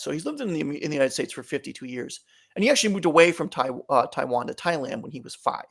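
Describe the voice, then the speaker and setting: A man's voice: a little high, creaky. A man being interviewed as an author, giving long, thoughtful answers with occasional restarts. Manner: thoughtful, fillers and restarts, measured explanation. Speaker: author, guest.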